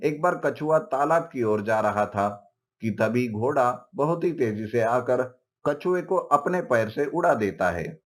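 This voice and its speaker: A man giving scripted narration for a children's moral story. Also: mid-range voice.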